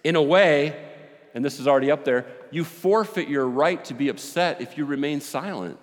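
A man preaching a sermon with a big voice, joking and animated, swinging between loud and quiet. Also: a little high, moderate pace. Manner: animated, joking, with rising and falling volume. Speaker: pastor. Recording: long hall reverb.

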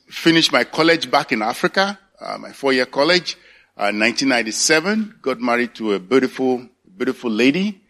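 A man in his sixties with a Nigerian accent, giving a halting personal faith testimony at a church service. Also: mid-range voice, bright, forceful tone. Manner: halting, searching for words. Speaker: church elder candidate.

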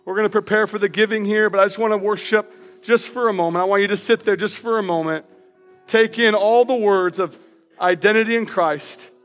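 A man preaching as pastor in a church, with a loud, passionate voice, reading personal declarations aloud with emphasis. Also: high voice, fairly even intonation, a little fast, big-room sound, soft background music. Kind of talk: sermon. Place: church sanctuary.

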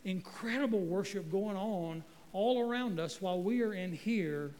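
A middle-aged guest pastor from North Carolina, preaching in a folksy, joking way with stumbling restarts. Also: male voice, high voice, normal speed, darkish tone, in a large hall. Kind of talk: sermon. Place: church sanctuary. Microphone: handheld microphone.

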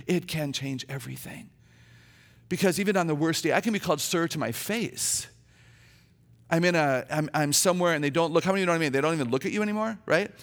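A middle-aged woman with a naturally low voice, a chatty, humorous and earnest guest speaker giving a sermon with personal stories in a church.

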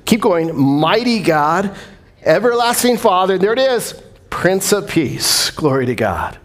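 A man preaching a lively, conversational sermon to his congregation, calling on them to respond. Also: high voice, a little slow. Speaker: pastor.

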